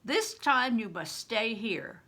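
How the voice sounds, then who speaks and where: A woman voicing a big, confident grown man in a storybook, gruffly fond and commanding. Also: on the high side, expressive, slow, pushed and bright, full character voice. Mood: firm.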